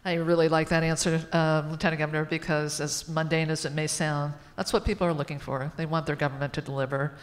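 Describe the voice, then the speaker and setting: A woman moderating a panel, responding to an answer and opening audience questions in a measured way. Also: sits low, little pitch movement, long reverb tail.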